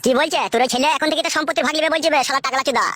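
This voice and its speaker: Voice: sits high, very fast, very noisy, lightly acted. A performer voicing a resentful young married son in a comedy cartoon, complaining coarsely. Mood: angry, resentful. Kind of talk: village comedy cartoon dubbing. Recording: music behind the voice.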